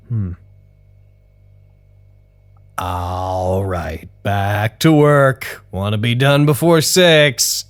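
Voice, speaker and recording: An actor playing a fussy, anxious, paranoid office worker giving spoken reports in an audio drama, stiffly formal but easily exasperated. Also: male voice, fairly low pitch, gravelly, heavily acted. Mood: awkward, puzzled. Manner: stiffly formal deadpan narration that swings into exasperated outbursts.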